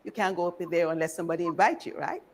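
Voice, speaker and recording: A woman from Barbados giving an informal history lecture in a deliberate, engaging way, putting questions to her listeners. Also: on the low side, a little mellow, slight background noise.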